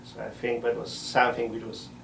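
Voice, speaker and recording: A middle-aged man, a missionary priest answering interview questions in a measured way, often pausing to find words. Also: medium pitch, completely flat delivery, vocal fry, fairly bright tone, slight background noise. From Poland. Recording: roomy sound from a distant mic.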